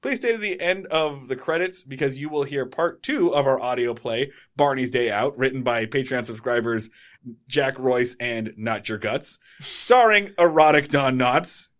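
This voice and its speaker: A man's voice: mid-range voice, lively intonation, normal speed. A man co-hosting a podcast, reading out plugs in a loose, rambling way and correcting himself as he goes. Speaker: podcast co-host. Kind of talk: casual podcast outro.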